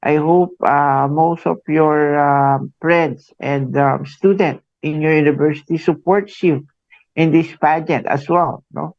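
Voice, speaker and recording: Filipino guy hosting radio, slow gravelly talk, lots of "no?", dull lo-fi sound. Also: a little high, steady tone, a little mellow, no echo. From Philippines. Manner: halting, many fillers, tag "no?" after phrases. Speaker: radio show host. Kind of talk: radio interview.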